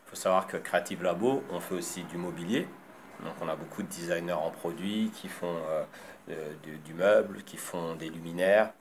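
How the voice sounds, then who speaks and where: Deep-voiced designer guy, lots of euh and restarts, faint room hum behind. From born in Congo, raised in France. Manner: halting, many fillers and restarts. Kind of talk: interview answers.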